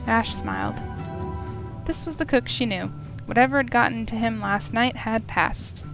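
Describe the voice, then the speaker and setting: A woman's voice: a little high, normal speed, a bit bright. A woman reading a visual novel aloud as story narration for a playthrough. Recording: music clearly behind the voice.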